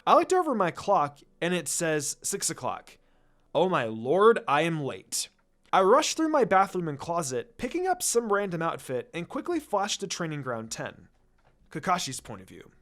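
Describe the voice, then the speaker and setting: High-pitched, very lively male story reader. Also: normal speed. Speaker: fan fiction reader. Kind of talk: expressive scripted story narration.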